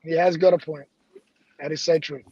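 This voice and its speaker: A man's voice: a little high, fairly even intonation, moderate pace, mellow, breathy edge. A man chatting on a livestream panel, emphatic and repetitive, swearing freely. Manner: emphatic, repeats phrases, swears. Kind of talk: casual chat. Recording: dull lo-fi call audio.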